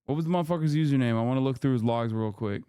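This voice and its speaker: Male streamer, mid-pitched, heated and profane, rambling rant, dry close sound. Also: rapid-fire, warm tone, smooth, no echo. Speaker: live streamer. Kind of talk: casual live-stream rant to chat.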